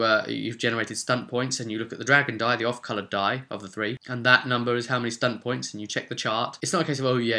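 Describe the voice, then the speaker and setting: A man's voice: mid-range voice, pushed and bright. A British man talking casually and off the cuff as a game master hosting his own vlog, chatty and thinking aloud. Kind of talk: casual unscripted rambling monologue.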